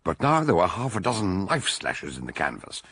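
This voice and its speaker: An actor playing a stout, wry doctor detective in a radio drama, theatrical and droll, gravelly and husky. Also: male voice, sits low, wildly swooping pitch, normal speed, a bit bright, no echo, exaggerated voice. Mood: grave, storytelling. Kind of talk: radio drama acting and storytelling narration.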